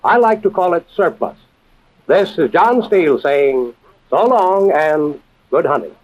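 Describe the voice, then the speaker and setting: An actor playing a composed, worldly adventurer and storyteller who hosts a 1940s radio drama in a polished, measured way. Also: male voice, fairly high pitch, expressive. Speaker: host. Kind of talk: 1940s radio acting and narration. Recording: muffled vintage radio recording, music behind.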